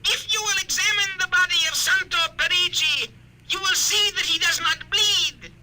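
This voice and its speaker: An actor voicing a tiny living doll who is an imperious alien commander, shrill, formal and menacing, in old radio science fiction style. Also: moderate pace, sharp tone, heavily acted. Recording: very noisy old radio recording.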